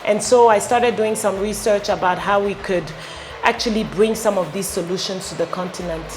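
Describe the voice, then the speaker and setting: A middle-aged woman from Ghana, a company founder, answering interview questions with many pauses and fillers. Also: mid-range voice, background noise. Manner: halting, many fillers and restarts. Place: showroom with electric bikes. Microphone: lapel.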